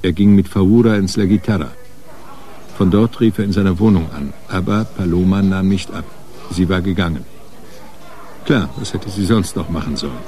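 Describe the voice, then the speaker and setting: An actor playing the sober, grim narrator of a radio crime story, reading scripted narration. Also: male voice, low-pitched, moderate pace, mellow, natural voice. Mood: matter-of-fact.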